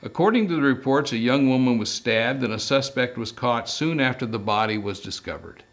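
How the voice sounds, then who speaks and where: A man's voice: mid-range voice, fairly quick, close to an everyday voice. Man reading as a curt, wry private detective. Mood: businesslike. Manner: terse, wry, self-assured.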